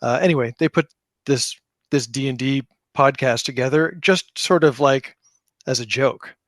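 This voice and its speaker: A man's voice: medium pitch, normal speed, fairly bright tone, no echo. Middle-aged man chatting, close and dry. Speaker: podcast guest, game creator. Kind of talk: casual interview chat.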